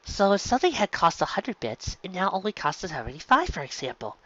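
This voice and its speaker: A narrator voicing a kindly, patient adult female pony schoolteacher with a gentle, measured, advising manner in a storybook reading. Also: medium pitch, very animated, highs cut off, pitched above the performer's own voice. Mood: patient, helpful.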